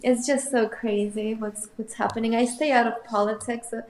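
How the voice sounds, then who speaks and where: A woman's voice: fairly high pitch, steady tone, normal speed, a little mellow. A woman from Russia, an artist guest, musing thoughtfully and hesitantly in a casual interview. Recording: roomy echoey sound.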